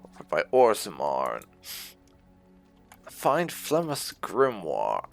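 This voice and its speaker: Slow, creaky man commentating a game. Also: lowish voice, huge pitch swings, soft background music. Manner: musing to himself. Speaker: gamer hosting a playthrough.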